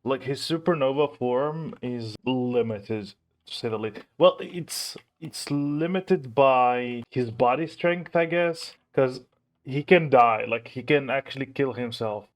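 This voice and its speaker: A man's voice: medium pitch, takes it slowly. A man hosting a reaction video, giving casual off-the-cuff commentary and thinking aloud hesitantly.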